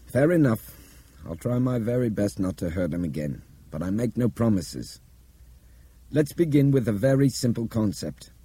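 A man voicing an urbane, forceful Englishman in an audiobook story. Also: on the low side, expressive, normal speed, husky, no room sound, pitched above the performer's own voice. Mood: firm, controlled. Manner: commanding, clipped urgency with polite turns of phrase.

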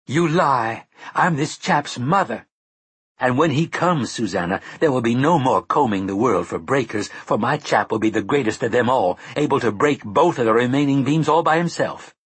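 A man doing a shrill, defiant otherworldly mother. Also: lively intonation, brisk, few pauses, fairly bright tone, slightly rough, no echo. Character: proud, desperate expectant mother spirit, woman.

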